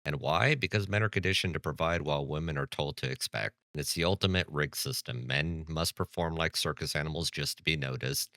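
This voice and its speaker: A man's voice: very deep voice, brisk, few pauses, pushed and bright, dry recording, studio quality. A man hosting a reaction commentary, blunt and lecturing with a sarcastic edge. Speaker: commentary channel host.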